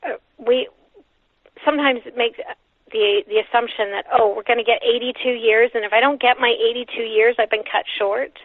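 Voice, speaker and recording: A woman from Canada, a guest author, talking over the phone in a soft, reflective way, with many pauses and fillers. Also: on the high side, fairly even intonation, no echo. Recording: tinny phone line.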